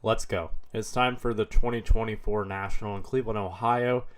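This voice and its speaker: A man's voice: lowish voice, brisk. Man with a head cold narrating. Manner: relaxed, matter-of-fact commentary. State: head cold, slightly hoarse. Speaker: card dealer vlogger. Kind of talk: vlog voice-over narration.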